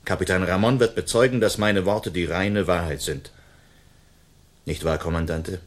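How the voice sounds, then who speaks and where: A gallant outlaw swordsman with a fairly low voice. He is proud and defiant, giving formal commands and threats in the manner of a radio play. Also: fairly even intonation, moderate pace. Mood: threatening. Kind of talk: German radio play acting.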